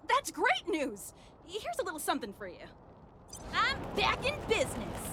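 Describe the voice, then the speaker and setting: A woman voicing an excitable, folksy market stall merchant in a video game, full of exclamations and chatty salesmanship. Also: very high-pitched, slight background noise, exaggerated voice. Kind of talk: lively video game character acting.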